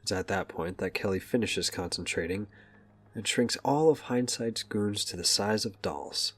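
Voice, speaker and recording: A man's voice: lowish voice, normal speed. A man narrating a scripted action story as the narrator of an audio drama.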